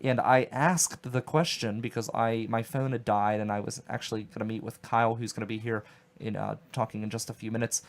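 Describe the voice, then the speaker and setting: A man's voice: on the low side, steady tone, a little fast. Man, radio host, chatty, rambling, filler words. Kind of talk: casual radio chat.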